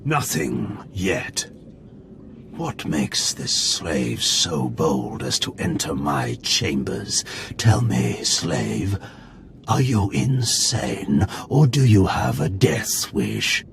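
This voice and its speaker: An actor playing a menacing cyborg Sith lord in a video game, gravelly and contemptuous. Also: male voice, sits low, sing-song, full character voice. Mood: menacing contempt. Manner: slow, contemptuous, threatening. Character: menacing cyborg Sith lord, more machine than man. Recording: clear noise behind the voice.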